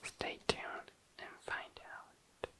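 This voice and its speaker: An ASMR host chatting casually to viewers in a hushed, breathy, unhurried whisper. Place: tabletop indoors.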